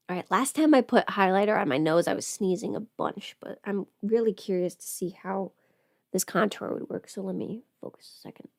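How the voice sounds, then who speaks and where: A woman's voice: medium pitch, moderate pace, vocal fry, no echo. A woman casually chatting on a livestream while doing her makeup, playful and absent-minded, muttering to herself in a close-miked voice. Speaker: livestream host, voice performer.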